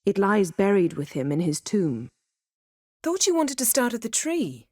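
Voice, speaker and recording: An actress playing a proud, sharp-tongued, guarded sorceress in a video game, speaking in a cool, clipped, dryly sardonic way. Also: female voice, mid-range voice, wildly swooping pitch, unhurried, no echo, clean studio sound. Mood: cool, composed. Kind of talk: scripted game dialogue acting.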